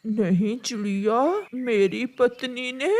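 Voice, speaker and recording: Gentle ailing old crow father for a cartoon, voiced by a woman: high, plaintive, very slow. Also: fairly even intonation. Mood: sorrowful. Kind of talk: Hindi cartoon story acting.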